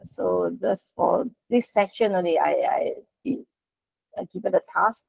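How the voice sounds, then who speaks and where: A woman with a Malaysian accent moderating an online session in informal talk, polite and halting, over dull lo-fi call audio. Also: lowish voice, lively intonation, gravelly, darkish tone, breathy edge. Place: online video meeting.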